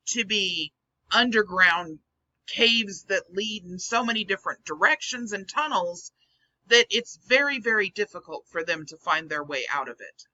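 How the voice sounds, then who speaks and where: A vlog host with a hoarse, scratchy voice, earnestly explaining the psychology behind the topic in casual commentary. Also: mid-range voice, bright, forceful tone. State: hoarse, losing voice. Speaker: channel host. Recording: dull lo-fi recording.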